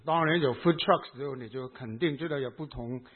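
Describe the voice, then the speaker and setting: Husky pastor with a Hong Kong accent. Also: male voice, mid-range voice. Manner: speaks in short phrases, pausing for the interpreter. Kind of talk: sermon with live interpretation. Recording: tinny phone-like sound.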